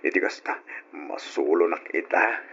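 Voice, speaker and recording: A man's voice: low voice, measured pace, fairly bright tone. An actor with an Ilocano accent playing a guilty, evasive married man who hesitates and stammers in an old radio drama. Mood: evasive, placating. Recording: lo-fi radio drama, faint noise.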